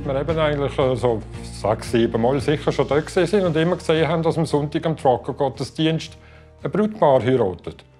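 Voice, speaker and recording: A man's voice: on the high side, fairly quick, darkish tone, faint music behind. A man in his fifties with a Swiss German accent, chatting eagerly in a home interview with easy fillers. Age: 50s. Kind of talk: casual interview chat. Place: living room indoors.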